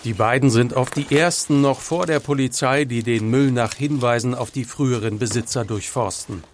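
A man reading a scripted voice-over for a TV news report in a measured, even way. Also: mid-range voice, slight background noise. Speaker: news narrator.

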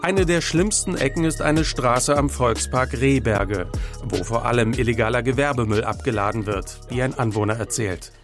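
A man reading a scripted voice-over for a TV news report in a measured, even way. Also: mid-range voice, faint music behind. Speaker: news narrator.

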